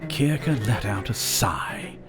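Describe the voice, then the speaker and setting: Male narrator for fantasy drama: measured, very unhurried, expressive delivery, clear music bed underneath. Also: on the low side. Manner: measured, deliberate storytelling.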